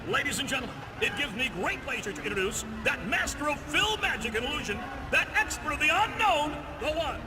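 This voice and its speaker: Bombastic emcee, video game, extremely high pitch, grand showman introduction, loud noisy backdrop. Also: expressive, a little fast. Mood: grand, excited. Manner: grandiose announcer delivery. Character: bombastic male master of ceremonies hyping a show.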